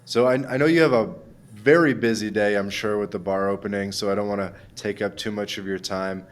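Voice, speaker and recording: A young man hosting a podcast, talking in an easygoing, friendly way with relaxed filler words. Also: on the low side, fairly quick. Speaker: podcast host. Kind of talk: casual interview chat.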